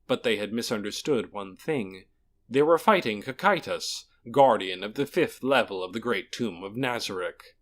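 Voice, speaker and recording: Male narrator for fantasy fiction, dramatic scripted read with very lively, varied intonation. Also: lowish voice, moderate pace. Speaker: audiobook narrator.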